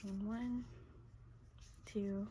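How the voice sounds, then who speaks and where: A young woman with a hoarse, fading voice, casually walking through a crochet tutorial and softly counting stitches. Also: mid-range voice, fairly even intonation, very slow, a little hiss or hum. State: losing her voice, hoarse.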